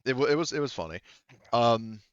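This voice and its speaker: A man narrating a tabletop roleplaying game as game master, in a halting, conversational way with asides. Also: medium pitch, lively intonation, clear, resonant tone, dry recording, lo-fi sound.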